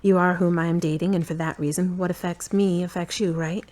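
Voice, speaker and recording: A woman's voice: fairly low pitch, steady tone, brisk, a little mellow, close to an everyday voice. A woman playing a tired, frank girlfriend who is fond but uneasy, in earnest, questioning audio drama dialogue. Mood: matter-of-fact, uneasy.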